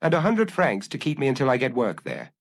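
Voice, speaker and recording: A man voicing a dejected, fearful murderer in an audiobook, dull, halting and desperate. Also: medium pitch, very animated, dry recording, studio quality, voice pushed up. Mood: pleading. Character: dejected, fearful murderer selling his secret. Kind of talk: audiobook character acting.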